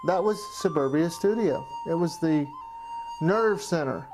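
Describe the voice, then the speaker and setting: A man with a fairly high voice narrates a personal documentary looking back on his amateur filmmaking. His delivery is scripted storytelling, with music clearly playing behind him. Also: expressive, unhurried.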